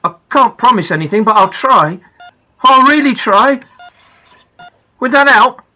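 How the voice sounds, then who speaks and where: Reader doing a tender, pleading dad, bright tone, audiobook. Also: medium pitch, expressive, measured pace, lo-fi sound. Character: worried father pleading with his son.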